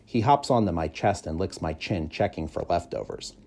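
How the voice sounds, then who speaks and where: A man reading a children's story aloud in expressive, measured, clearly phrased narration. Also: sits low. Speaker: audiobook reader, school staff member.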